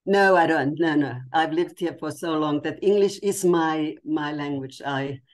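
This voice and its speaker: A woman's voice: deep voice, unhurried. A woman in her sixties whose first language is Finnish, chatting candidly on a video call and making light of herself. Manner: candid, self-deprecating, laughs easily. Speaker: meeting participant. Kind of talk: casual video call chat. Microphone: webcam video call.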